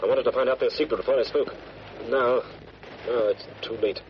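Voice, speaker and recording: An actor playing a self-assured, commanding crime-fighting hero in an old radio drama. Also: male voice, mid-range voice, steady tone, brisk, close to an everyday voice. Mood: grim regret. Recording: noisy, muffled vintage radio recording.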